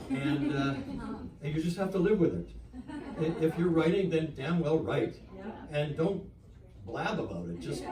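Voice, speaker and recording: A man, an author at a live literary event, speaking with measured, emphatic phrasing. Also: a little high. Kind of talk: literary reading, then frank talk. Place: whisky bar literary event. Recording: echoey room, distant from mic.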